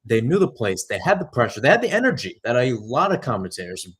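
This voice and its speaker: Young adult man, radio sports commentator, lively varied intonation, emphatic delivery, mid-range pitch. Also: fast talker, husky. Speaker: play-by-play commentator.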